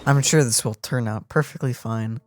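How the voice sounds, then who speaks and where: Laid-back male game streamer, medium pitch. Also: steady tone, normal speed, music in the background. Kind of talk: casual gameplay reaction commentary.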